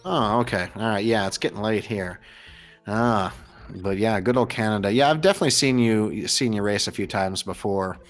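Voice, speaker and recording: A man's voice: fairly low pitch, moderate pace, soft background music. An American man commentating and interviewing on a live gaming race in a casual, chatty, jokey way. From United States. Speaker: host and commentator.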